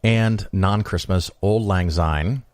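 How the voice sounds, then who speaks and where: Sex: man. Role: podcast host. Pitch: very low. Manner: relaxed, wry. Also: slow, no room sound. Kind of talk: casual interview chat.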